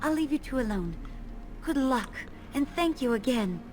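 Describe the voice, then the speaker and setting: High, expressive young elf woman. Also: moderate pace, soft background music. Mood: grateful. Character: grateful, earnest young elf woman, a bride. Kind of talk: fantasy video game voice acting.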